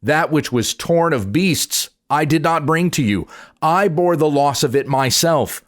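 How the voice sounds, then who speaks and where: A man's voice: on the high side, animated, measured pace. A man reading scripture aloud in the part of an aggrieved, indignant herdsman patriarch, with emphatic, declamatory delivery. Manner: declamatory, emphatic, rhetorical questioning. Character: aggrieved, indignant male patriarch, a herdsman protesting twenty years' service.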